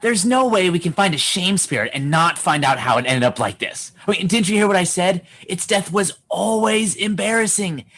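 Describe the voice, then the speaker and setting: Huxley: nosy, excitable boy with a mid-pitched voice, teasing and a bit cruel, radio comedy, acted by a performer. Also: moderate pace, few pauses, husky. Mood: gleeful, mocking. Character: eager, gleefully mean, nosy boy Huxley. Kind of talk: comedy radio theatre acting.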